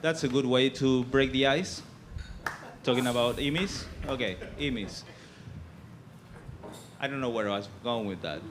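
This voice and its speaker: A man from Argentina doing a stand-up set on a comedy club stage, with a halting delivery full of fillers. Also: mid-range voice, background noise.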